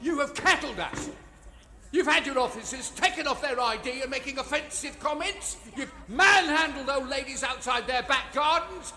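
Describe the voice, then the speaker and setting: A man's voice: extremely high pitch, normal speed, bright, forceful tone, heavily acted. An actor playing an indignant, confrontational male protestor with an English accent in an audio drama. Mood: angry. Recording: echoey hall.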